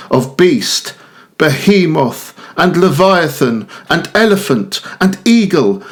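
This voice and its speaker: A man giving a measured, expressive reading of Romantic narrative verse. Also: a little high. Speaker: poetry reader.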